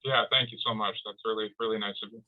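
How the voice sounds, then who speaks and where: A man's voice: on the low side, steady tone, fast, narrow, tinny audio. A man co-hosting a sports show with casual, enthusiastic and appreciative banter.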